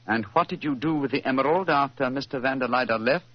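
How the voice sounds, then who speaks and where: An actor playing a shrewd, unflappable private detective in a precise, formal, theatrical 1940s radio style. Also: male voice, mid-range voice, fast, lightly acted. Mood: analytical, calm. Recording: muffled old-time radio recording.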